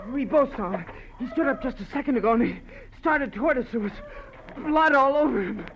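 Very high-pitched excitable teenage boy. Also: a little fast. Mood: scared, breathless. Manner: breathless, stammering, eager. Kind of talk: 1940s radio drama acting. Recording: muffled, noisy old radio recording.

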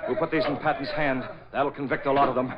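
An actor playing a cool, sardonic masked vigilante with a dry, tough manner in a 1940s radio drama. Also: male voice, medium pitch, flat delivery, quick pace, a loud crowd, lightly acted. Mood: brisk, sardonic. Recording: dull old 1940s radio recording.

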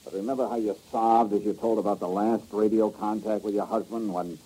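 An actor playing a wisecracking, tough insurance investigator who talks in a dry, sarcastic, clipped way in a 1950s radio drama. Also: male voice, on the low side, fairly even intonation, brisk, mellow, husky, rough recording. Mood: sardonic.

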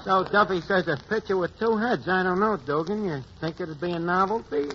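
An actor playing a wisecracking New bartender who mangles words, telling a tall tale in a folksy, rambling way on a 1940s radio comedy show. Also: male voice, high voice, fairly quick, a bit bright. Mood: wry. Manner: folksy storyteller, drops in malapropisms and filler. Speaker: comic guest. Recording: noisy lo-fi 1940s radio broadcast.

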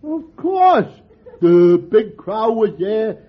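An actor playing a dim-witted, cheerful barfly in 1940s radio comedy, with a slow, dopey and innocent delivery. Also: male voice, high-pitched, sing-song, full character voice. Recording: lo-fi old radio broadcast with hiss.

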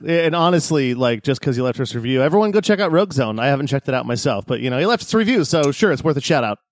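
A man's voice: medium pitch, sing-song, rapid-fire, dry recording, studio quality. Joking laid-back podcast co-host man. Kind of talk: casual podcast chat.

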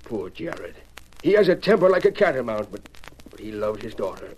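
An actor playing a folksy, emotional ranch hand with a drawling American Western accent in a radio drama. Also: male voice, medium pitch, very animated, normal speed, a little mellow, highs cut off, close to an everyday voice. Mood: mournful. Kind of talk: 1940s-50s Western radio acting. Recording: noisy vintage radio recording.

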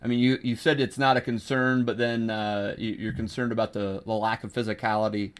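A man's voice: lowish voice, little pitch movement, brisk, clean tone. A man hosting a football podcast, steering the talk with many hesitations. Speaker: podcast host. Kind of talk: casual football chat.

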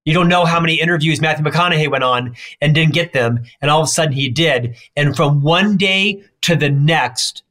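A man with a stutter speaks as a guest in an interview, his voice sitting a bit higher than most men's. He talks emphatically and rattles off his points in quick runs. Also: fairly bright tone. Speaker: leadership expert guest. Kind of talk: interview answers.

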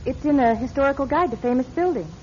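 An actress playing an earnest, polite, enthusiastic charity director in a radio drama, gently insistent in manner. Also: female voice, high-pitched, animated, fast, a little hiss or hum. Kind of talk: classic radio drama acting. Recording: dull radio sound, long reverb.

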